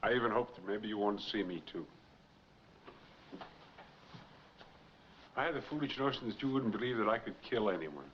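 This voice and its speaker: A low-pitched, middle-aged American man acts a weary, earnest fugitive in a 1940s film noir. The sound is dull and a little noisy, like an old film soundtrack. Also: animated, fast, lightly acted. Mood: bitter, pleading.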